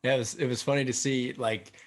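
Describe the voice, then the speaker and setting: A man's voice: mid-range voice, fairly even intonation, fast. A man hosting a golf podcast, chatting eagerly and rambling with lots of filler words. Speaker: podcast host. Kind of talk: casual interview chat.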